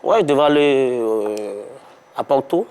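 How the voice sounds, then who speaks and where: Man, former footballer, hesitant, relaxed. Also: mid-range voice, measured pace. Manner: hesitant, laid-back answers with many fillers. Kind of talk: interview answers.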